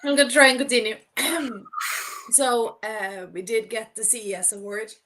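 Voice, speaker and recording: A woman's voice: a little high, steady tone, moderate pace, pushed and bright. A woman who is unwell and losing her voice, talking informally and anecdotally as an interview guest. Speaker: podcast guest, startup founder. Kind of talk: casual interview talk.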